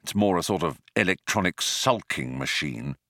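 Casting: awkward everyman voiced by a male reader, easily startled, stammery and incredulous, pitch jumping about, dry studio sound. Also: huge pitch swings, moderate pace, pushed and bright, no room sound, heavily acted. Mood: disapproving. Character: awkward, flustered, startled ordinary man. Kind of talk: audiobook character voice.

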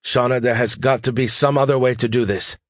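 A man's voice: medium pitch, fairly even intonation, brisk, no echo, studio quality, lightly acted. A man voicing an anxious but dutiful female army pilot in an audiobook, speaking in a clipped way. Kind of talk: audiobook character voice.

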